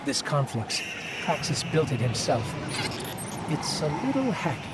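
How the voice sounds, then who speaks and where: An actor playing a chatty, quick-thinking little robot companion in a video game, brisk and casual. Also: male voice, fairly high pitch, big pitch swings, heavy background noise. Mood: brisk, alert.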